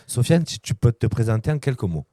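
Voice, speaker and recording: A man's voice: medium pitch, big pitch swings, a little fast, mellow, no room sound, clean studio sound. A man hosting an interview podcast, asking questions with short, friendly prompts. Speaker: podcast host. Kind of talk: casual interview.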